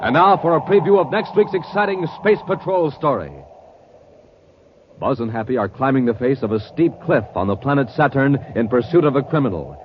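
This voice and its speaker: A man announcing in scripted 1950s radio style, with urgent, punchy delivery and booming flourishes. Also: expressive, a little fast. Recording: muffled, noisy old radio recording.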